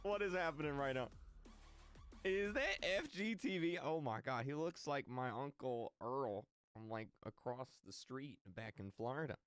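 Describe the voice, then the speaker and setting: A young man in his twenties reacts casually into a close mic over faint music. His pitch moves around a lot, and he jokes in slangy, repeated phrases with an excitable manner. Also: normal speed, smooth. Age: 20s. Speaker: reaction video host. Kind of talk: casual jokey reaction commentary. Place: indoor room studio with green screen. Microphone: close microphone on boom arm.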